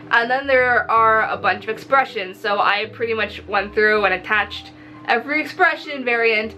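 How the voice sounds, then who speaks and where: A woman talks in a casual vlog style with a bright, fairly high voice. She is expressive and pokes fun at herself, swearing freely. Soft music plays behind her. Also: rough recording. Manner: animated, expressive, self-mocking, swears casually. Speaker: visual novel developer and vlogger. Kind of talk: casual video diary chat. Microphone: recording to camera. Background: background music.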